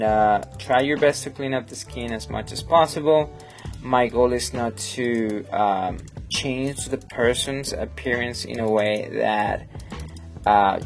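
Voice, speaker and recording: A Colombian man, Spanish his first language, talks slowly with a low, gravelly voice. He rambles in a friendly way, full of fillers, as he edits at his desk. Soft music plays in the background. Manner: rambling and friendly, many fillers, reads chat aloud. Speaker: photographer hosting a live editing stream. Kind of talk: casual live-stream chat while editing.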